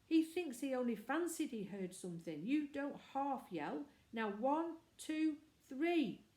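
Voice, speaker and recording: A woman's voice: high voice, big pitch swings, slow, dark tone, pitched above the performer's own voice, exaggerated voice. Bossy, plucky schoolboy ringleader, played by a woman reader, urgent storybook manner, echoing room. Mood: hasty, urging. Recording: echoey room.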